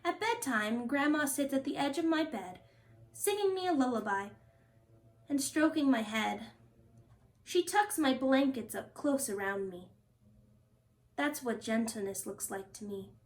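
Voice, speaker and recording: A woman reads a picture book aloud for children. Her voice sits high and moves in very lively melodic swings. She phrases each line expressively and deliberately, as a storytime host does.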